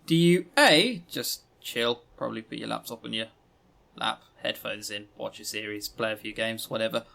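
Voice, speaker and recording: A man's voice: on the low side, moderate pace, creaky, sharp tone. A man co-hosting a podcast in casual chat, with a wry, joking, teasing way of talking. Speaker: podcast co-host. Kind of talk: casual chat reviewing visual novels.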